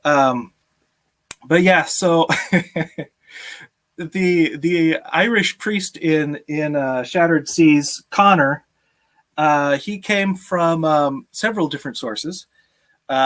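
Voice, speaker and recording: A man's voice: fairly high pitch, a bit bright. A man in his thirties hosting a casual live stream, chatting with viewers and often chuckling, on a video call from a room at home. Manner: chuckles often while talking. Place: small home room with sloped ceiling, indoors.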